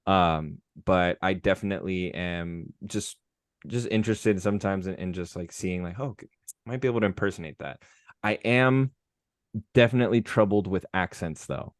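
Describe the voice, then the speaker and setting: A young man, a guest on a podcast, speaking modestly and haltingly with many restarts. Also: deep voice, smooth, no room sound, studio quality. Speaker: podcast guest, amateur voice impressionist. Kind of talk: casual podcast conversation.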